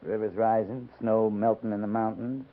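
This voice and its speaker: An actor playing a wry, laconic frontier cavalry captain in a 1950s radio drama. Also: male voice, lowish voice, steady tone, phone-quality sound, close to an everyday voice. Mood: relaxed, wry. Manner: laconic, dry, unhurried. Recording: thin band-limited 1950s radio recording.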